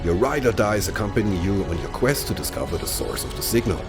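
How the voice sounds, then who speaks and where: Male game presenter, lowish and expressive, scripted explanatory read, music underneath. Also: quick pace. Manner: polished, explanatory. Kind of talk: scripted promotional narration.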